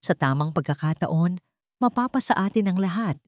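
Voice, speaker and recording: A woman's voice: on the low side, expressive, moderate pace, no echo, clean studio sound, natural voice. A woman voicing a scheming stepmother in a story, falsely sweet and theatrical. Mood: conspiratorial. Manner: theatrical, insincere, exclamatory.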